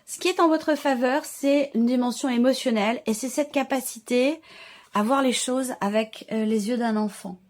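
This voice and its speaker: Female tarot host, slightly high voice, informal and explanatory, feels her way through phrases with pauses and repeats. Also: darkish tone. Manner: hesitant, searching phrasing with repeated words. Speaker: tarot reader and channel host. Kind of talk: informal tarot reading addressed to viewers.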